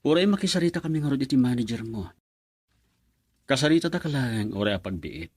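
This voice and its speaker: An actor playing an earnest man who calmly and persuasively asks to talk with a young woman. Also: mid-range voice, brisk, dry recording, studio quality, natural voice. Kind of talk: radio drama acting.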